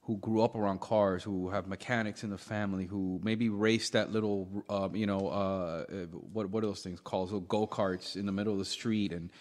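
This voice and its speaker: An American man co-hosting a podcast, giving blunt opinions in casual, halting banter. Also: lowish voice, steady tone, darkish tone. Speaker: podcast co-host. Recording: echoey room with long reverb.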